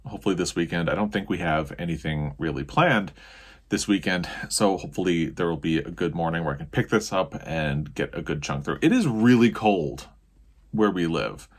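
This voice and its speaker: Deep-voiced American man chatting casually. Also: slightly rough. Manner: rambling, self-correcting. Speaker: book vlogger. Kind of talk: casual chat to camera about reading.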